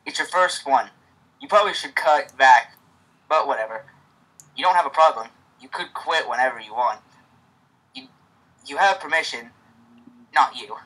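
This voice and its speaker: A man's voice: mid-range voice, animated, moderate pace, long pauses, sharp tone, low-quality recording. A man with a stutter hosting a casual reading of a fan story, with many restarts.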